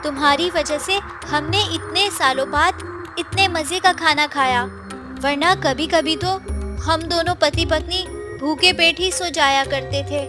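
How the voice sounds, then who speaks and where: Poor village wife for a cartoon, voiced by a woman: plaintive, earnest, high-pitched and unhurried. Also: music in the background. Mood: grateful. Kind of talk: animated moral story voice acting.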